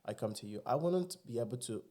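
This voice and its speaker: A man's voice: mid-range voice, expressive, fast talker, dark tone. A man with a Nigerian accent, whose first language is Igbo, talking earnestly and with animation as a guest in a casual podcast chat.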